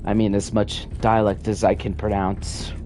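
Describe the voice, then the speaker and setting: A man streaming a game, chatting casually and reading in-game notes aloud in a relaxed way. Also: on the low side, normal speed, a little hiss or hum. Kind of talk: casual gameplay commentary.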